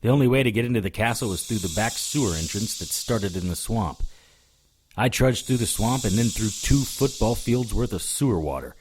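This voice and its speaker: A man's voice: on the low side, expressive, moderate pace, a little hiss or hum, close to an everyday voice. A man playing a wry, hard-boiled hero, narrating a scripted audio drama in the first person with dry sarcasm. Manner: dry, sardonic. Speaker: narrator and lead character.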